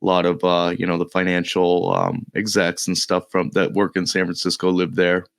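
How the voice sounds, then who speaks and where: Low, slightly gravelly male podcast guest. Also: normal speed, no echo. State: a little under the weather. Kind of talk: casual interview chat.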